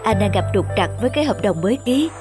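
A woman's voice: medium pitch, expressive, quick pace, over music, close to an everyday voice. Woman voicing a troubled, subdued cartoon husband. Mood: worried. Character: troubled, downcast adult husband. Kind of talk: cartoon drama acting.

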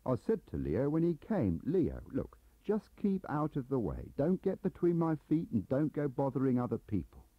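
A lonely middle-aged English clerk as a male reader plays him confides and complains in a rambling, defensive way. The voice is husky and animated, on a muffled audiobook cassette. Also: mid-range voice, moderate pace, few pauses, no echo, voice pushed up. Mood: aggrieved. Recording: dull lo-fi cassette transfer.

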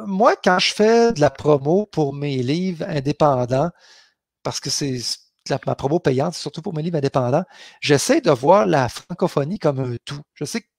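Gravelly Québécois man with a Beauce accent. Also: a little high, a little mellow, no echo, clean studio sound. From Quebec. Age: 40s. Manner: chatty, many fillers and restarts. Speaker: author hosting a live Q&A. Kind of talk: casual live Q&A. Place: home office with bookshelves. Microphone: headset.

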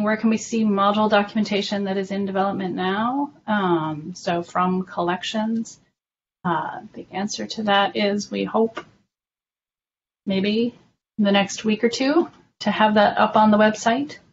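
A woman explaining things informally in an online meeting, measured and a little hesitant as she goes. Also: mid-range voice, fairly even intonation. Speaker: documentation working group member presenting. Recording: dull lo-fi online call with faint noise.

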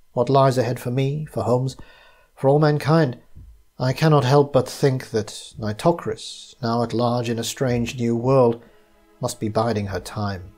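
A man reading his own first-person story as scripted narration, in a measured, literary way. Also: medium pitch, a little mellow.

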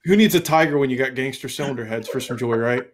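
A man in his thirties co-hosting a casual car show, talking in a relaxed, rambling way on a headset. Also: mid-range voice, fast talker. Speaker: co-host. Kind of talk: casual podcast chat.

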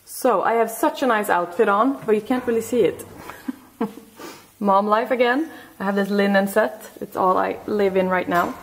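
A woman's voice: mid-range voice, normal speed, slight background noise, poor audio. A young woman with a Swedish accent chatting casually to her handheld camera as a vlogger. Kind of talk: casual vlog chat.

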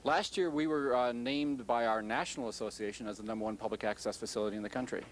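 Older-ish guy answering interview questions, talks quick but picks his words, little background noise. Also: mid-range voice. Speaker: interviewee, public access station representative. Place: indoors in a TV studio. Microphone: handheld stick microphone.

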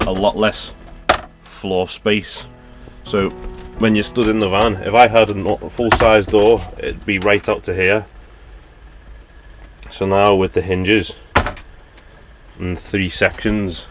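A man in his thirties with a Liverpool accent, chattily showing his camper van and explaining each feature as he points it out. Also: deep voice. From Liverpool, England. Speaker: van dweller giving a van tour. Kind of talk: casual vlog tour narration. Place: inside a small wooden camper van. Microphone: handheld action camera close up.

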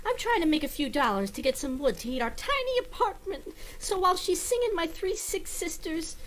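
A woman's voice: very high-pitched, very animated, fairly quick. Radio play urchin boy woman performer, glib and cheeky, wildly swooping delivery, slight background noise. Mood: glib, plaintive. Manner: glib sales patter. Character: cheeky young boy salesman, fast-talking little urchin. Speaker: actor in a radio play recreation. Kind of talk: old-time radio comedy acting.

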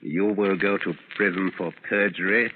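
Actor playing a deep-voiced, menacing crooked lawyer. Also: male voice, steady tone, close to an everyday voice. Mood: smooth coercion. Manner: coolly threatening, deliberate. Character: menacing shyster lawyer, smooth blackmailing crime boss. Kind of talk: 1930s radio acting. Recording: scratchy 1930s radio, phone-like, long reverb.

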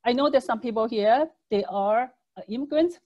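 A fairly high-voiced woman whose first language is Cantonese talks through a slideshow lesson on a webcam mic. Her phrasing is earnest and conversational, with small slips and fillers. She speaks from a room at home. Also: normal speed, dull audio. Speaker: public speaking instructor. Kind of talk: webinar presentation over slides. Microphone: webcam microphone on video call.

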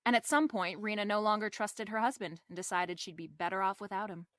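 A woman's voice: medium pitch, fairly quick, a bit bright, no room sound, studio quality, close to an everyday voice. An actress playing a wry, confident female private detective in a radio drama, with a breezy, slangy, wisecracking delivery.

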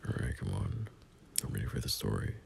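A man playing a gentle, teasing young boyfriend in an intimate ASMR roleplay, speaking softly and breathily, often half-whispering in a hesitant, soothing way. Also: very deep voice, wildly swooping pitch, brisk, creaky, exaggerated voice. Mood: reassuring, coaxing. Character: gentle, teasing young boyfriend comforting his scared partner. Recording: faint background hiss.

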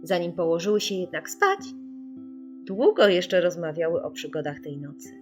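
A woman narrating a children's audiobook in an expressive, scripted storytelling style. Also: fairly low pitch, moderate pace. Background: music.

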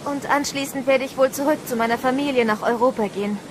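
Outdoor noise surrounds an actress playing a composed young woman in an audio drama. Her voice sits high as she speaks with calm poise. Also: quick pace, close to an everyday voice. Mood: friendly, composed.

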